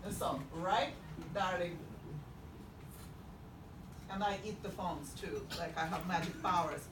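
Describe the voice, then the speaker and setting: A woman from Moscow teaches a class in a loose, blunt, chatty way, full of "uh" and "like". She is recorded from a distance in a reverberant classroom. Loud background noise sits under her voice. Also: fairly low pitch, takes it slowly. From Moscow, Russia. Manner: chatty, blunt, many fillers. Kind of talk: casual classroom talk. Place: echoey classroom.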